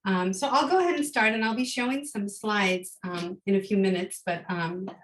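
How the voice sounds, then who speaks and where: Hoarse woman lecturing with many ums. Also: mid-range voice, moderate pace, reverberant, slight background noise. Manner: thoughtful, with frequent ums and restarts. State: hoarse, recovering from a cold. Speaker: guest lecturer. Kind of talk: academic lecture.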